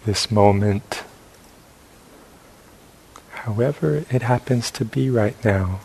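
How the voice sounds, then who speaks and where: A man leading a guided meditation as a teacher, speaking in sparse short phrases with long pauses between them. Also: lowish voice, vocal fry, a little mellow, husky, rough recording. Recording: faint hiss and background noise.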